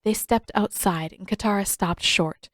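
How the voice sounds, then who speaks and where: A woman's voice: mid-range voice, unhurried, a bit bright, no echo, studio quality. A woman reading fan fiction aloud as scripted audiobook narration.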